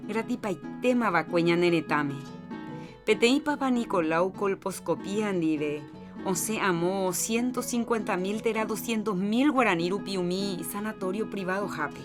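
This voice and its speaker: A woman's voice: medium pitch, unhurried. A woman with a Paraguayan accent reading a scripted health announcement as a radio presenter. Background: guitar music.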